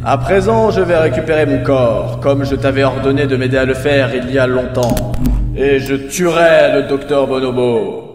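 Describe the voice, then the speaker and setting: A man's voice: medium pitch, moderate pace, natural voice. Man voicing a solemn villain brain in cavernous reverb. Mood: solemn, menacing. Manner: grandiloquent, ceremonious speech. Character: solemn, vengeful disembodied brain, leader of clones. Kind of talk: comedic French audio drama acting. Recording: cavernous hall reverb, distant, music behind.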